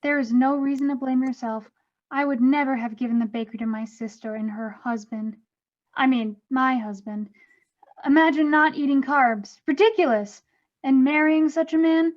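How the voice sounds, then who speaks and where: A woman acting as the ghost of a proud, loving mother in an animated comedy, emphatic in manner. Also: high-pitched, dull audio, lightly acted. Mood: indignant. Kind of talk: animated comedy table read.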